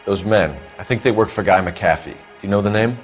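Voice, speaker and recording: A man's voice: fairly low pitch, fairly quick, soft background music, close to an everyday voice. A man playing a polite, pressing police detective. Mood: probing. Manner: polite but pressing, formal. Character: composed, probing male police detective.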